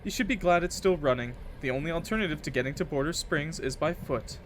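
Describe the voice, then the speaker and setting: A man voicing a brisk, scolding, dismissive mother in a visual novel. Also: a little high, lively intonation, a bit bright, a little hiss or hum. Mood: matter-of-fact.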